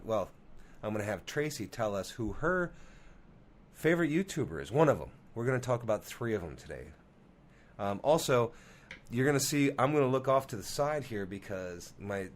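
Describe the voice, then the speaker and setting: A man with a head cold hosting a podcast in a casual, conversational way. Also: medium pitch. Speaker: podcast host. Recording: faint background noise.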